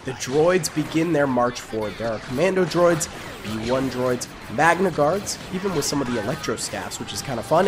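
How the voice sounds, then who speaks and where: A young man, sick and with a partly lost voice, giving casual upbeat commentary over a strategy game as the channel host. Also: mid-range voice. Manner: upbeat, chatty, talks to viewers.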